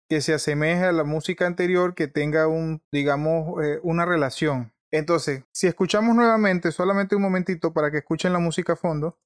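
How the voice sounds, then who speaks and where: A man's voice: fairly high pitch, no room sound, clean studio sound. A man hosting an informal video tutorial, explaining conversationally and often repeating and rephrasing himself.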